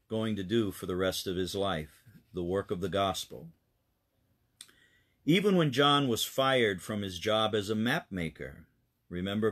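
A man with a fairly low voice tells a faith story to children as their pastor. He explains things earnestly as he goes, stressing the lesson in the tale. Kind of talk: children's Bible-mission storytelling.

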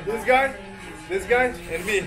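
A man's voice: sits high, measured pace, over music. A young man in his twenties, a travel vlogger, chatting and joking playfully with his barber while getting a haircut in a small barbershop. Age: 20s. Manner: playful, joking, laughs often. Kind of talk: casual chat. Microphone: handheld camera close up.

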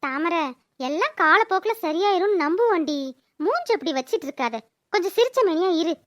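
A woman voicing a shrill, feisty village woman. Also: lively intonation, fairly quick, a bit bright, no room sound, clean studio sound. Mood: coaxing. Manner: blunt, colourful scolding and coaxing. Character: feisty, scolding village elder-sister friend. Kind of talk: cartoon voice-over dialogue.

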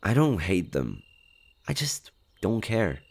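A man voicing a shy, gentle skinwalker monster in an audio roleplay, speaking hesitantly with innocent curiosity about humans. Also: lowish voice, big pitch swings, creaky, heavily acted. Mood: matter-of-fact. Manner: hesitant, halting, innocent wonder. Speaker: voice actor. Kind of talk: intimate audio roleplay, one-sided dialogue.

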